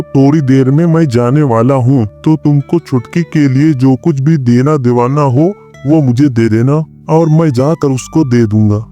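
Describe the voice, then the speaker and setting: A man voicing a cunning, smooth-talking village priest in a cartoon moral story, glib and folksy. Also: medium pitch, warm tone, close to an everyday voice.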